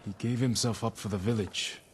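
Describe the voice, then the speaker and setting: An actor playing an earnest, resolute samurai lord in a video game, grave and loyal, speaking in a terse, restrained way. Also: male voice, fairly low pitch, fairly even intonation, fairly quick, a little hiss or hum, close to an everyday voice. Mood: grim determination. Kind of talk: cinematic game dialogue. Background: music.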